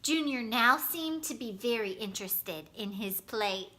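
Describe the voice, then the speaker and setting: Female storyteller for kids' books. High, bright voice, unhurried pace. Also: expressive. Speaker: children's storyteller. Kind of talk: animated scripted storybook reading for children.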